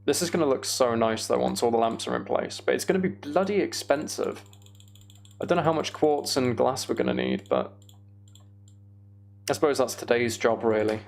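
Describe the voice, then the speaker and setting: Creaky-voiced British man, casual streamer. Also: mid-range voice, big pitch swings, normal speed, slight background noise. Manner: rambling, thinking aloud. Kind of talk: casual livestream chat while gaming.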